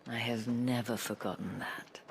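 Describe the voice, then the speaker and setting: An actress playing a sly, elegant, teasing madam in a video game, with a sultry, half-whispered, genteel delivery. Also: female voice, a bass voice, steady tone, moderate pace, a little hiss or hum. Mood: measured, knowing. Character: sly, elegant madam of a pleasure house, teasing and self-assured. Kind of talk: video game cutscene acting. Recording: music behind the voice.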